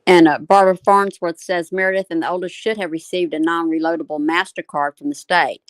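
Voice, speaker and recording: A woman's voice: fairly low pitch, steady tone, a little fast, no echo. A woman giving casual opinion talk as a panel host, earnest and repeating phrases for emphasis. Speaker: panel host on a live discussion show.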